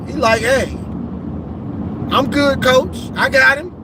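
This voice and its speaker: A man hosting casual football talk, rambling and repeating himself, a bit hoarse from losing his voice, calling in from a vehicle. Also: extremely high pitch, takes it slowly, noisy background. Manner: rambling, repeats himself, says "you know what I'm saying". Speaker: football show host. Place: in a vehicle on a bridge.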